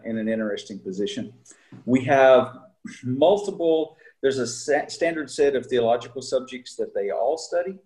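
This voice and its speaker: A middle-aged man, a seminary teacher, talking casually in an interview with frequent pauses and fillers. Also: mid-range voice, a little mellow, low-quality recording. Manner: halting, many fillers and restarts.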